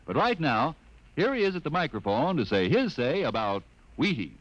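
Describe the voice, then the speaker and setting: A man announcing in an upbeat, smooth style for a 1950s radio commercial. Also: fairly high pitch, big pitch swings, normal speed. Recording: dull, dry old radio transfer.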